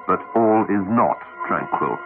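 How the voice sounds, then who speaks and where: A British man making scripted radio continuity announcements in a measured, formal way. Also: on the low side, lively intonation, gravelly. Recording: dull 1980s radio broadcast, dry, faint music.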